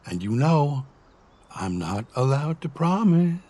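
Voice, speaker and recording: An actor playing a gentle middle-aged husband reassuring his partner, tender, earnest and measured, in an emotional drama. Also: mid-range voice, very animated, breathy edge, full character voice. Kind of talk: emotional audio drama dialogue.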